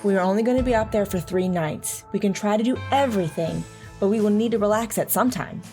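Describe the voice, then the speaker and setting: A loving mother in an audio drama, played by a woman, speaks in a reassuring, playful way at a medium pitch. Soft music runs underneath as she tells her story and teases her child. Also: quick pace, close to an everyday voice. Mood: warm, agreeable. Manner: reassuring, teasing. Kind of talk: audio drama narration and dialogue.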